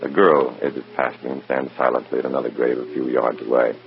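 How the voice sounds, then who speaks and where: An actor playing a weary, conscience-torn American undercover agent, narrating in a brooding, clipped hard-boiled style of old radio drama. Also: male voice, a bass voice, flat delivery, fairly quick, creaky. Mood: narrating grimly. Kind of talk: mid-century radio drama acting, first-person narration. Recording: muffled old radio recording with music.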